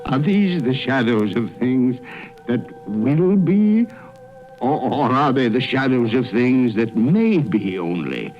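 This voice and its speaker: A fearful old miser, swooping and imploring. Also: male voice, medium pitch, sing-song. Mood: dread, anguish. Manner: theatrical, halting, imploring. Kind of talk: old-time radio drama acting. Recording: muffled old radio recording, music behind.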